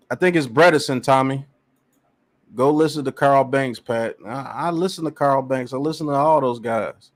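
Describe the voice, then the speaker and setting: A man hosting a casual sports podcast, reading out chat comments and arguing his points emphatically. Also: medium pitch. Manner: animated, emphatic, repeats phrases. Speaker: podcast host.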